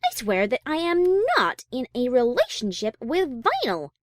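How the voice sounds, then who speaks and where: A woman acting a haughty, easily exasperated high-class pony in a fan audio drama, prim and wordy. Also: wildly swooping pitch, measured pace, dry recording, clean studio sound, exaggerated voice. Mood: indignant.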